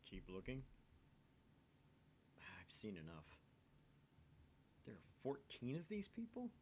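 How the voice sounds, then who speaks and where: A man's voice: medium pitch, wildly swooping pitch, takes it slowly, a little hiss or hum. A man reading a visual novel's narration and dialogue aloud in a dramatic reading. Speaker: amateur voice reader of a visual novel.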